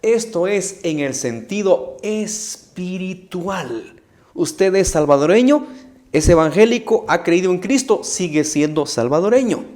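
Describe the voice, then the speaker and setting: A man's voice: high-pitched, expressive, unhurried, a little mellow. A middle-aged man with a Guatemalan Spanish accent, preaching an emphatic Bible study to camera, using rhetorical questions and repetition. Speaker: evangelist preacher.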